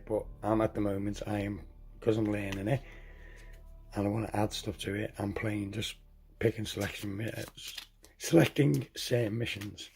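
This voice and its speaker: A hoarse man getting over a cold walks through a tabletop game setup, halting, correcting himself and clearing his throat. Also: lowish voice, darkish tone. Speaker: tabletop game tutorial host. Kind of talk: casual step-by-step game setup walkthrough.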